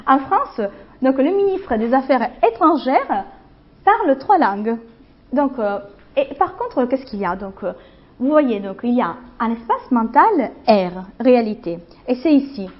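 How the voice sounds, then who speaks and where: A woman with a gentle Italian accent teaches a university class, her voice sitting fairly high. She pauses often, restarts phrases and keeps checking that the students understand. The recording is muffled and lo-fi. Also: sing-song. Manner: hesitant, many fillers and restarts, checks understanding. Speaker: guest lecturer. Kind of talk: university lecture.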